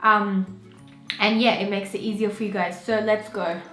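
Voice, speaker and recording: A young woman from Pakistan talks straight to her viewers in a loose, chatty vlog, leaning on "um" and "like" as she goes. Her voice has a middling pitch. The room around her is echoey, with faint music underneath. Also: steady tone, normal speed, fairly bright tone. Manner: chatty, with many fillers like "um" and "you know". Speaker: vlogger. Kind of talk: casual vlog to camera.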